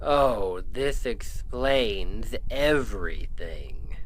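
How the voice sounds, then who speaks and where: Slow, scheming Demon King, acted by a man. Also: on the low side, lively intonation, exaggerated voice. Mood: astonished realization. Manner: theatrical, deliberate, musing aloud. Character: arrogant male Demon King, scheming, theatrical villain. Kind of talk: scripted ASMR roleplay acting.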